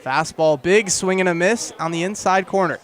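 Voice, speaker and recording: A young man calls baseball live on the radio in a high-pitched voice. He speaks in short, clipped phrases and builds to excited calls as the play develops. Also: normal speed. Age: young adult. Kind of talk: live radio sports commentary.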